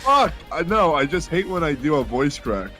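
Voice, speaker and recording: A man's voice: a little high, very animated, moderate pace, bright, forceful tone, faint music behind. A man chatting casually between game dub takes, joking at his own expense. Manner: joking, self-mocking. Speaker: voice actor, co-host.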